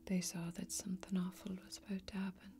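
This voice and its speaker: A young woman playing a grave, weary fantasy warrior in an ASMR role-play, whispering slowly and deliberately in a breathy, brooding way. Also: lowish voice, flat delivery, mellow, natural voice. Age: young adult. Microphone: close microphone.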